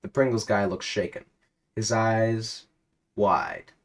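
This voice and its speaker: Man, fairly low pitch, storyteller, dramatic delivery, comedic second-person narration. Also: takes it slowly, vocal fry.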